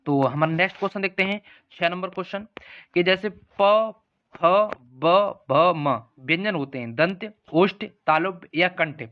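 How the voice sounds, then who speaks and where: A man's voice: sits high, measured pace, dull audio. A man teaching an exam-prep lesson, reading out questions and options in an instructional way and repeating the answers. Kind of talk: lesson walking through multiple-choice practice questions.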